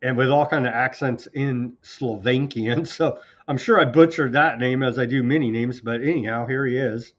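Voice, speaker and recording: A man's voice: mid-range voice, moderate pace, few pauses, highs cut off. A man hosting a live online news commentary show, sarcastic and scornful as he rants through the headlines. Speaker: political commentary host.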